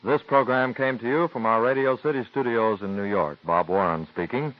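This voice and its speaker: A man's voice: mid-range voice, soft background music. Formal 1940s radio announcer, man. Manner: crisp, measured, formal. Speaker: network announcer. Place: radio studio. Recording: dry, dull 1940s radio broadcast.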